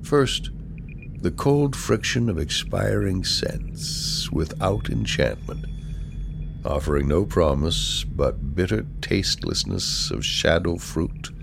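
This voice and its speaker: A man giving a solemn poetry reading in a measured, deliberate manner, pausing between phrases. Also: deep voice, vocal fry, background noise. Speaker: poetry reader.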